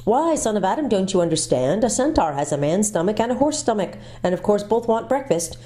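A woman's voice: mid-range voice, big pitch swings, a little fast, dark tone, voice pushed up, close to an everyday voice. A woman voicing a polite, eager young male fawn who talks in a chatty, earnest way.

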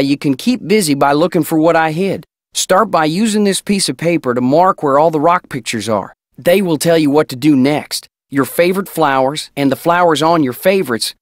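A lovestruck Old West outlaw played by a man for a video game, with a fairly high male voice. He reads his letters in an earnest, folksy, deliberate manner. The sound is dry and close. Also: no room sound, close to an everyday voice. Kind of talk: acted reading of love letters.

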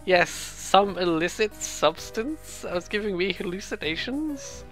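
A let's player giving casual, rambling game commentary with excitable, jokey asides and some reading of on-screen text. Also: medium pitch, measured pace, soft background music.

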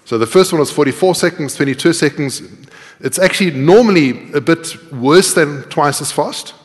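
A man's voice: fairly high pitch, lively intonation, moderate pace. A middle-aged man giving a live coding talk, explaining step by step in a conversational way and putting questions to his audience. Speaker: conference speaker and programming instructor. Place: large tiered lecture auditorium, indoors. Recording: reverberant lecture hall echo.

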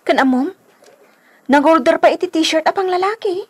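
A woman's voice: very high-pitched, normal speed. A woman with a Filipino accent acting in a radio drama as a chatty, nosy friend who drops by to sell things. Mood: gossipy. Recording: clear background noise.

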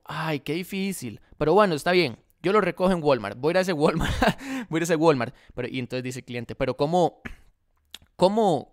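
A young man with a Costa Rican accent telling a story to camera in a casual vlog, animated and acting out both sides of the dialogue, close to a desk microphone in a room by a window. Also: on the high side. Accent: Costa Rican Spanish. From Costa Rica. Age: young adult. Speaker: dropshipping vlogger. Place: indoor room by a large window. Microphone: desk condenser mic in front of face.

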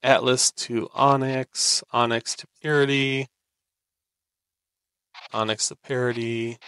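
A man's voice: medium pitch, level tone, takes it slowly, fairly bright tone, smooth, no room sound. A man giving casual game commentary, thinking aloud in short phrases. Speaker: gameplay streamer.

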